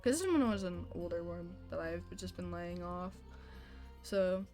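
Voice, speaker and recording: A woman's voice: fairly low pitch, unhurried, darkish tone, soft background music. A woman live-streaming a video game with casual commentary, playfully sarcastic as she talks back to chat and game.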